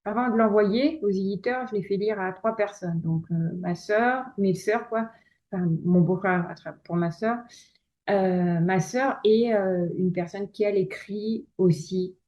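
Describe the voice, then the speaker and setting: A woman's voice: medium pitch, measured pace, darkish tone. Hesitant woman guest on a dull lo-fi line. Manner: hesitant, many restarts and fillers, laughs often. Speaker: guest, lawyer and author. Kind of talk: casual interview conversation.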